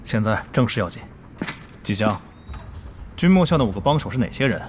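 A man's voice: fairly low pitch, very animated, brisk, a little hiss or hum. An actor in a Chinese audio drama playing a level-headed, probing male guild officer. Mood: placating.

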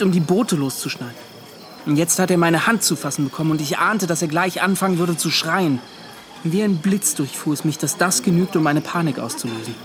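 An actor playing a tormented, defensive ship's officer, recounting in an agitated, pleading and halting way in a radio drama. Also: male voice, fairly high pitch, fairly bright tone. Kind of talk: radio drama acting, confessional monologue.

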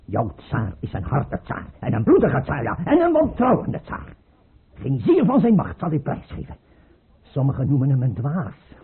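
Husky, erratic tyrant tsar. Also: male voice, mid-range voice, wildly swooping pitch, moderate pace, heavily acted. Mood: scornful, menacing. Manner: imperious, abrupt, mocking, swinging from mutter to outburst. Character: paranoid tyrant tsar, erratic, scornful, suspicious father. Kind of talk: radio play acting. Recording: muffled, tinny old radio play recording.

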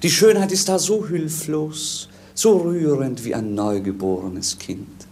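An actor playing a romantic, idealistic young prince in a 1950s German radio play, declaiming poetically. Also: male voice, fairly high pitch, measured pace, darkish tone. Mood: rhapsodic, yearning. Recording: noisy 1950s radio recording.

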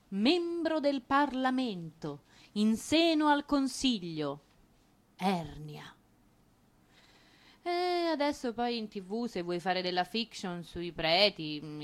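An actress playing a wry, satirical stage narrator, giving an ironic, conversational monologue to the audience. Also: female voice, mid-range voice, fairly bright tone. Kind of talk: theatrical monologue addressed to the audience.

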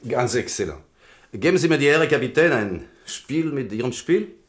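A man's voice: mid-range voice, animated, normal speed, close to an everyday voice. A man playing a courteous, evasive prison director in a radio play, with a French accent and a polite, hesitant manner. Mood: courteous, admiring. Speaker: actor in a radio drama. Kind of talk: German radio play acting.

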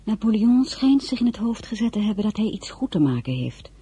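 A spirited young woman of a radio play, proud and headstrong. Her voice is husky and mellow, with very lively intonation and formal, expressive phrasing. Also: medium pitch, fairly quick. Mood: pensive, uneasy. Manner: crisp formal refusals, indignant and expressive. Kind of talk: radio drama acting with first-person narration.

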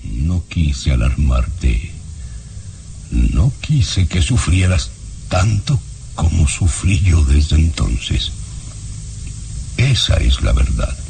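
An actor playing a stern, secretive baron and father in a melodramatic radio drama, commanding and deliberate. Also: rumbling low, sing-song, vocal fry, darkish tone, full character voice. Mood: grave, evasive. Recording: faintly noisy old radio recording.

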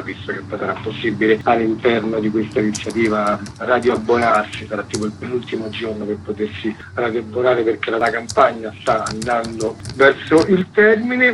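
A man's voice: mid-range voice, fairly bright tone, slight background noise, poor audio. A man, a radio editor being interviewed, explaining at length in a conversational, self-correcting way.

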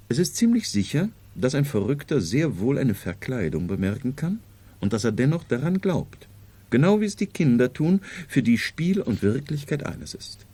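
Gelehrter Doktor, belegt und lebhaft moduliert. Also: male voice, medium pitch, mellow, slightly rough. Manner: pedantic, lecturing, formal. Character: learned, self-assured male psychiatrist, explaining at length. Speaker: radio drama actor. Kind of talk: 1970s radio drama acting.

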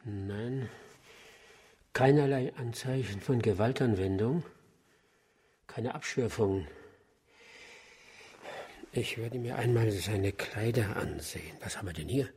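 A man's voice: lowish voice, slow, long pauses, a little mellow, slight background noise. An actor playing an observant, plain-spoken male investigator's aide in a German radio crime drama. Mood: focused.

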